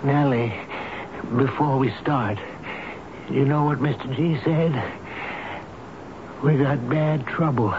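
An actor playing a gentle, loyal old elevator operator in a radio drama, speaking in a halting, earnest, folksy and tender way. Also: male voice, mid-range voice, natural voice. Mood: tender, confiding. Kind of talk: 1970s radio drama acting. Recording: noisy muffled old radio broadcast recording.

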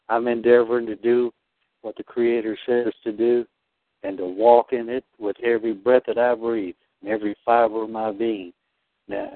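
Man, caller, earnest, devout, halting, phone line, dry sound. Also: fairly low pitch, dry recording. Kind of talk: spiritual discussion.

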